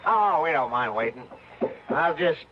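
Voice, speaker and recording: An actor playing a ruthless fugitive in an old radio drama, curt and barking orders. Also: male voice, medium pitch, unhurried, lightly acted. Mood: menacing. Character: ruthless, bossy male fugitive on the run. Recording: muffled, very noisy old radio recording.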